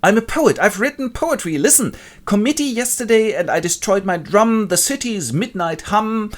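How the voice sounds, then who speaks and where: An excitable male poet acted by a man, pitched up and eager to impress. He declaims with self-importance in a comic story retelling. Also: moderate pace. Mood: proud.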